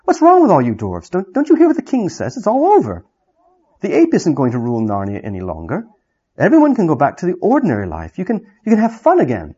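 A man's voice: fairly high pitch, huge pitch swings, quick pace, warm tone, husky, highs cut off, pitched above the performer's own voice, exaggerated voice. A man voicing a bold young girl in a read-aloud story, talking eagerly and pleadingly.

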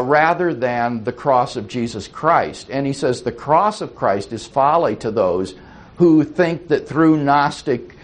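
A man answering audience questions after a talk, thoughtful and explanatory. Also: medium pitch. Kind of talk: lecture Q&A answers.

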